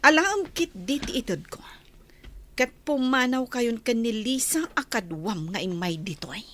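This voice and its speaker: A woman's voice: a little high, lively intonation, a little slow, a bit bright, a little hiss or hum. A woman playing a haughty, cold mistress of a mansion in a radio drama, stern and commanding. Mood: angry, commanding.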